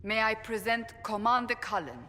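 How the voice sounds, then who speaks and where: A woman playing a stern, resolute warrior in a game cutscene, speaking formally and in a measured way. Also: on the high side, sharp tone. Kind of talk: video game cutscene acting. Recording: long reverb, clear background noise.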